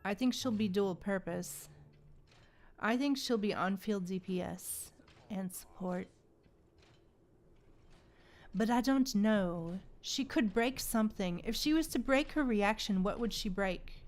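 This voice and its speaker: A woman's voice: mid-range voice, takes it slowly, pauses often, darkish tone. A woman hosting a casual gaming livestream, chatting with viewers and thinking aloud in a playful, conversational way. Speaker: livestream host.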